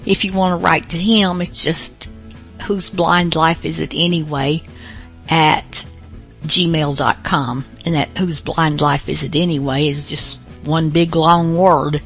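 A woman hosts a podcast in a casual, off-the-cuff way. Her lowish voice has plenty of fry and moves very slowly, with hesitant, rambling phrases over faint music. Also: steady tone. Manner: hesitant, rambling, pausing often. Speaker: podcast host. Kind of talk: casual, unscripted show introduction.

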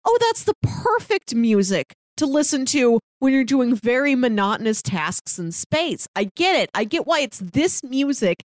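A woman's voice: high voice, big pitch swings, unhurried, hardly any pauses, no room sound. A woman co-hosting a podcast, casually discussing a movie in a chatty way and laughing easily as she talks. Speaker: podcast co-host.

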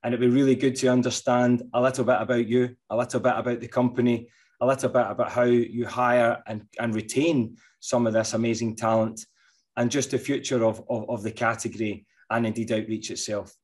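A man's voice: medium pitch, level tone, fairly quick, a little mellow. A middle-aged man hosts an interview in a casual, eager way, with a mid-range voice. He stumbles over words and repeats them as he frames his questions. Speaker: podcast host.

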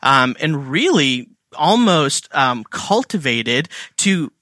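A man with a bright, clear voice teaches Bible study in an easy, chatty way. His pitch sits a little above the middle, and he often stops to ask a question before he answers it. The sound is dry and close, with no room echo. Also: big pitch swings, slow. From India. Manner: conversational, filler-heavy, asks and answers his own questions. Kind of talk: casual teaching talk.

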